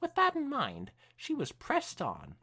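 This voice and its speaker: A woman co-hosting a podcast, reading fan fiction aloud in an expressive, swooping storytelling style with casual asides. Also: sits high, measured pace, gravelly, husky.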